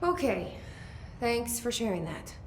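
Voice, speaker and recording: An actress playing a guarded, strained but patient sister in video game dialogue, speaking in a measured, restrained way. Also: female voice, big pitch swings. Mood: guarded politeness. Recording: echoey room, faint rumbling noise.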